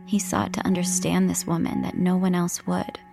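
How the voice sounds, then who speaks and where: An actress narrating a brooding audio drama as its storyteller, in a measured, lyrical manner. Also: female voice, fairly low pitch, monotone, vocal fry. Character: brooding storyteller of a 1950s coming-of-age tale. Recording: loud music behind narration.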